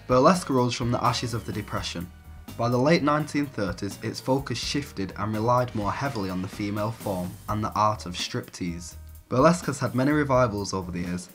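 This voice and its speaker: A man's voice: fairly low pitch, fairly bright tone. A man reading scripted narration as the narrator of a documentary.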